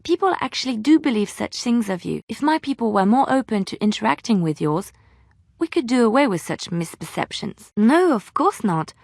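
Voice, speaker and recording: A woman playing a devout, gentle, romantic young companion in a fantasy video game, speaking in formal, earnest phrases. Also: fairly high pitch, sing-song, moderate pace, vocal fry, dry recording, clean studio sound, full character voice.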